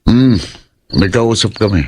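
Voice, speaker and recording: An actor playing a troubled, protective older brother in a horror audio drama, hesitant, earnest and brooding. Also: male voice, fairly low pitch, measured pace, background noise, lightly acted.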